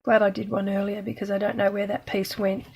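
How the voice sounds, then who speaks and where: A middle-aged woman from Australia, slightly croaky today, chatting casually and self-deprecatingly while she demonstrates card-making. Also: mid-range voice, completely flat delivery, brisk, darkish tone. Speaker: craft demonstrator.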